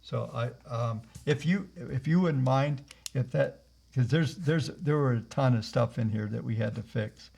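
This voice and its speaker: Gravelly man hosting a webinar. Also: medium pitch, unhurried, darkish tone. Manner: rambling, lots of fillers and restarts. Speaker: host and trainer. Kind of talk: live webinar software walkthrough. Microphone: computer mic on a video call.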